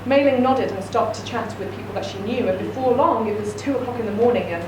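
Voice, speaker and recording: A woman reads a short story aloud with steady narration. Her voice sits fairly high. She is heard from a distance in an echoey room, with noticeable noise. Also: fast. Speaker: reader.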